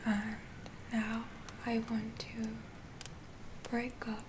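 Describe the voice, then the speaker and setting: A woman playing a gentle, reassuring witch healer in a soft whispered ASMR roleplay, soothing and a little hesitant. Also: a little high, monotone, extremely slow, noisy background. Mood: comforting. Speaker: ASMR performer.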